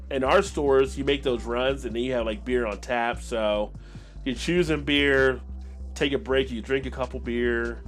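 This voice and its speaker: Low-voiced American man chatting on a live stream. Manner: rambling, conversational. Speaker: beer channel live stream host. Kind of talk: casual live stream chat with viewers.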